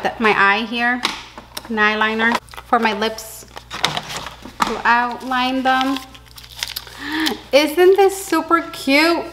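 A woman's voice: a little high, noisy background. A young woman, a dental hygienist, chatting casually to her viewers in a vlog from her car and living room, talking close to the camera. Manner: chatty, addresses viewers as baby girl. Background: soft background music.

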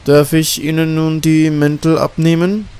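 Castle butler, huge and curt, formal, raised pitch, for a gothic storybook, played by a male narrator. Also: little pitch movement, unhurried, darkish tone, clear, resonant tone. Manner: formal, terse.

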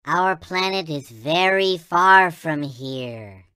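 A man's voice: a little high, lively intonation, slow, pushed and bright, clean tone, no echo, heavily acted. A man voicing a tiny, childlike alien toy in a video game, speaking with reverent wonder in formal, chant-like phrases. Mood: solemn wonder. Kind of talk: video game character voice clips.

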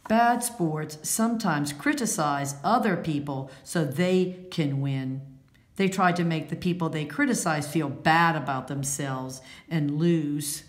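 A low-voiced woman reads a children's book aloud in an echoey room. She takes it very slowly, stressing key words in an instructive, deliberate way. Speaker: read-aloud storyteller. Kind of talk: children's picture-book read-aloud with commentary.

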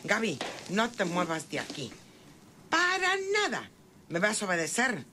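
A woman's voice: mid-range voice, huge pitch swings, a little slow, bright, forceful tone, exaggerated voice. A woman playing a jealous, bossy household matron, scolding bluntly in rustic style in a radio soap opera. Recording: clearly noisy old radio recording.

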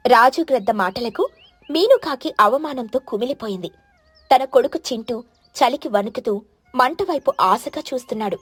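Woman telling a kids bird story, fairly high voice, a little husky, dry close sound. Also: animated, moderate pace, dry recording, soft background music. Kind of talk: scripted children's story narration.

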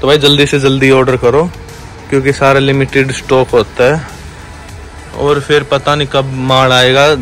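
A young man giving a repetitive, pushy sales pitch for wholesale coats in a big indoor warehouse, filmed on a handheld phone. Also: completely flat delivery, moderate pace, fairly bright tone, soft background music. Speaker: wholesale clothing seller. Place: large indoor clothing warehouse.

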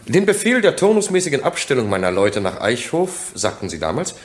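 A man's voice: medium pitch, very animated, a little fast, natural voice. An actor playing a skeptical male public prosecutor, formal and incisive, in a German courtroom radio play. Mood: probing.

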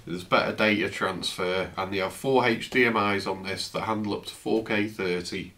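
A man in his fifties, croaky from a flu, chatting casually through an unboxing in his home office, recorded on a camera's built-in mic. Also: on the low side, normal speed, a little hiss or hum. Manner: relaxed, chatty, trails off. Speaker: tech vlogger. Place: home office, indoors, seated at a desk.

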